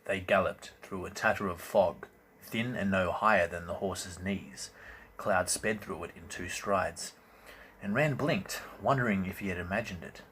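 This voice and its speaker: Male fantasy audiobook reader, deep register, theatrical and deliberate delivery, amateur recording with slight background noise. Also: fairly bright tone. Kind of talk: dramatic scripted narration of a fantasy novel.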